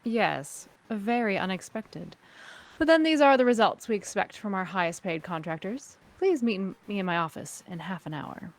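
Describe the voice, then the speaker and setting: A woman playing a cool, suspicious crime syndicate boss, speaking formally and with measured skepticism in a sci-fi table read. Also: medium pitch, lively intonation, natural voice. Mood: coolly formal.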